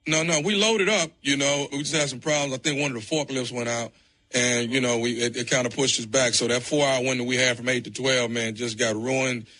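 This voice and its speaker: A man's voice: medium pitch, steady tone, fairly quick, bright, forceful tone. A man playing an apologetic, stalling refrigerator delivery driver on a prank phone call, stammering and placating as he repeats himself. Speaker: radio show prank caller. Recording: phone call aired on radio.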